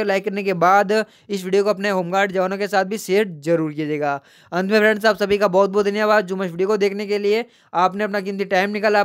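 A man hosting a YouTube news channel, reading out official letters and news updates in a chatty way that addresses viewers as friends. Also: high voice. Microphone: voice-over on screen recording.

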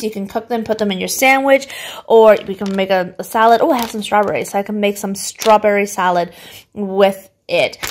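A woman's voice: mid-range voice, a little hiss or hum. A woman in her thirties from Canada, chatting casually to a phone camera in her home kitchen as she rambles through a grocery haul. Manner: chatty, rambling, laughs often. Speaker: vlogger showing a grocery haul. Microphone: phone camera at arm's length.

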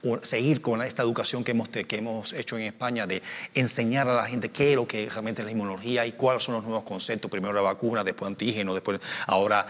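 A man's voice: fairly low pitch, steady tone, quick pace, fairly bright tone, big-room sound. A man in his forties, from Cuba, a scientist speaking on a studio panel, gesturing and restarting his phrases often. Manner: gestures a lot, many restarts and fillers. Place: television studio. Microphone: small lapel mic.

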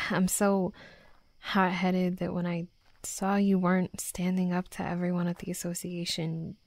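Woman voicing a young female tsundere werewolf for roleplay audio: slow delivery, close dry sound, defensive, teasing, hot-headed but flustered. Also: on the low side, flat delivery, no room sound. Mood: apologetic, vulnerable. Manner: halting, defensive, teasing, often dropping to soft murmurs. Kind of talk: intimate roleplay audio drama.

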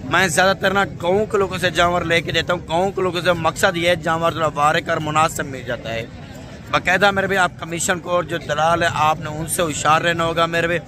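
High-pitched emphatic male market vlogger. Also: fairly even intonation, a little fast. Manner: emphatic, repetitive, addressing viewers as brother. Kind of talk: vlog addressed to camera. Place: open-air livestock market. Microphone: phone at arm's length. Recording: busy outdoor crowd noise.

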